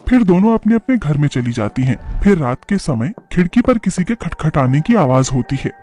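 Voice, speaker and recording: A man's voice: on the high side, big pitch swings, moderate pace, mellow. A man reading scripted narration for a horror cartoon story. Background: faint music.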